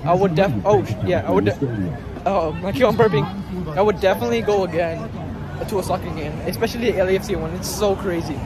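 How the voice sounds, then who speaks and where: A teenage boy vlogging from his phone at arm's length, outdoors at night after a soccer game, excitable and swearing, his voice going hoarse. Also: high voice, quick pace, a loud crowd. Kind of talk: casual vlog chat. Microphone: phone held at arm's length.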